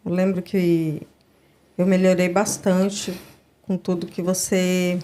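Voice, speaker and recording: Woman host, studio interview; slow, soft, affirming delivery. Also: on the low side, little pitch movement. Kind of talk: interview conversation.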